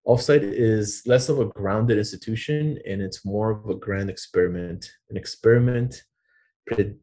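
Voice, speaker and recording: A man's voice: fairly low pitch, moderate pace, dark tone. A man, a design educator, presenting his program formally in a webinar, with frequent filler words.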